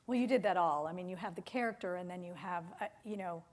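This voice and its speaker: A woman's voice: on the low side, fast, darkish tone. A woman interviewing on stage in a literary conversation, tentative and searching as she rephrases her questions. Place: theater stage.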